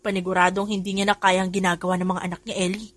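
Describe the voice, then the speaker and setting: A woman's voice: mid-range voice, flat delivery, racing, no echo, lightly acted. A woman voicing an exhausted young secretary who grumbles about the boss's children. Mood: weary. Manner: weary, grumbling.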